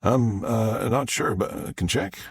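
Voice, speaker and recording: Man acting a flustered, stammering guy with a husky voice. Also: mid-range voice, unhurried, no echo, clean studio sound, pitched above the performer's own voice. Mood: nervous, eager. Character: rattled, stammering grieving son, an adult man. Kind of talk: audiobook character dialogue.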